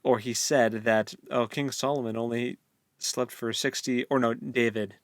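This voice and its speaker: A young American man chats casually as a co-host, in a close, dry recording. His pitch sits in the middle for a man, and he retells things in a jokey, conversational way. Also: fairly even intonation, a little slow, no echo. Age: late 20s. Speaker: podcast co-host. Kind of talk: casual book club chat.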